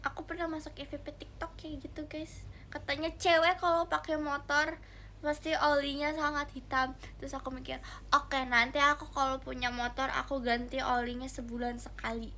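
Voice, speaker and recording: A woman's voice: high-pitched, flat delivery, moderate pace, bright, forceful tone, slight background noise. A young woman, chatting casually and giggly with viewers on a livestream, addressing them directly. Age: young adult. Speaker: idol livestreamer.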